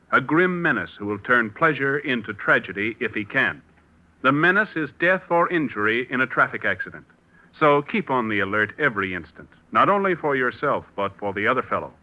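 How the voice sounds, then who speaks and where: A man's voice: medium pitch, lively intonation, a little fast, bright, forceful tone. A man working as a radio announcer, reading a script in an emphatic, formal 1940s style. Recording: muffled old radio recording, music behind.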